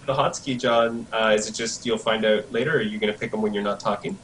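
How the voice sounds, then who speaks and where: A man's voice: on the low side, fast talker, a bit bright, a little hiss or hum. A man co-hosting a casual online show, chatting and laughing often with the others. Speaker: co-host. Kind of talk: casual streamed show chat. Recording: echoey and far from the mic.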